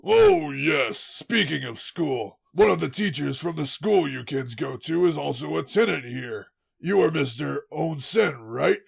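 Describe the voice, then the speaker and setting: Man playing a very gravelly, blustery dad. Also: fairly high pitch, big pitch swings, unhurried, heavily acted. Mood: dismissive. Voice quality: gravelly, husky. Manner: boastful, dismissive of worries. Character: blustery, easygoing middle-aged father. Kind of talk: amateur anime audio drama acting.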